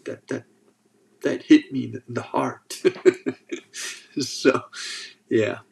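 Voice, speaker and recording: A man's voice: medium pitch, slow pace, gravelly. A man with a sore throat hosting a casual livestream, reading chat and joking as he rambles. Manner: rambling, joking, restarts often.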